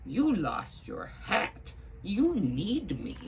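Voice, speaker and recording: A woman voicing an eager, indignant male talking hat in a children's story, exclaiming in slow, emphatic words. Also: lowish voice, huge pitch swings, gravelly, darkish tone, a little hiss or hum, pitched above the performer's own voice, exaggerated voice. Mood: insistent.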